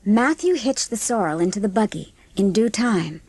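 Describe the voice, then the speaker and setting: A woman's voice: mid-range voice, lively intonation, normal speed, a little mellow, husky, no room sound. A woman giving scripted narration for a dramatised audiobook.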